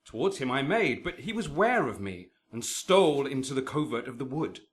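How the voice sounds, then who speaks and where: Male actor as earnest young cousin, mid-range, expressive Shakespearean radio delivery. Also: mid-range voice, normal speed, natural voice. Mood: respectful, earnest. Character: earnest, friendly young noble, lover's cousin. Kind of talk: classical Shakespearean radio acting.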